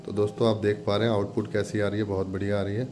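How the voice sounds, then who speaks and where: A man's voice: lowish voice, level tone, rapid-fire, warm tone, faint music behind. A man presenting an informal product demonstration, instructing viewers step by step and addressing them as friends. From India.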